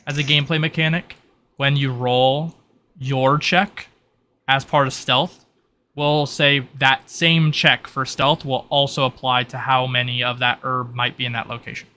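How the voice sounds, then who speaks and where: A man's voice: mid-range voice, level tone, a little slow, pushed and bright. A man casually explaining the rules and world of a tabletop game as its game master.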